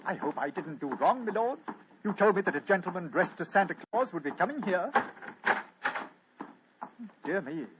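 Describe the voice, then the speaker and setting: A man's voice: sits high, lively intonation, moderate pace, pauses often, noisy background. An actor playing a deferential, anxious male butler in an old radio drama. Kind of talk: 1940s radio acting. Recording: dull old radio recording.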